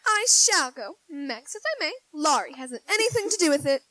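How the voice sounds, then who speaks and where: A woman's voice: high-pitched, sing-song, moderate pace, pushed and bright, dry recording, heavily acted, low-quality recording. A woman acting a petulant, pleading little girl with a whiny, dramatic delivery in a 1940s-style radio drama. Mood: spiteful, defiant. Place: live theater stage.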